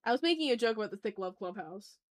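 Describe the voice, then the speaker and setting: A woman chatting casually as she hosts an art livestream, talking to her viewers in an animated, slangy, teasing way with some swearing. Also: medium pitch, fast talker. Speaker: livestream host and artist. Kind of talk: casual art livestream chat, reading and answering chat messages.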